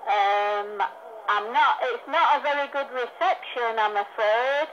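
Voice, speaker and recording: Woman service clerk, higher voice, confused, repeats questions, muffled phone. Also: takes it slowly, fairly bright tone.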